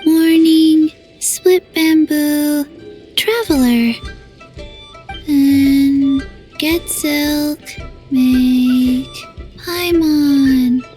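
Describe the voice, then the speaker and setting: A woman voicing a deadpan, forgetful little undead girl in a video game, halting and childlike. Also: high-pitched. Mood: flat, earnest. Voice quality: small, childlike. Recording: music behind the voice.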